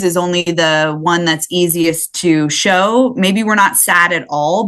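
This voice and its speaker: A woman speaking as a podcast guest, reflecting conversationally in thoughtful, halting phrases. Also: on the low side, a bit bright. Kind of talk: reflective conversational interview talk.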